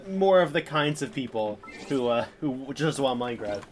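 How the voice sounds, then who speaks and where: A man's voice: mid-range voice, lively intonation, moderate pace, slight background noise. A man chatting casually on a game livestream, rambling and hesitant as he thinks aloud. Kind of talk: casual livestream chat over gameplay. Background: faint game music and dialogue.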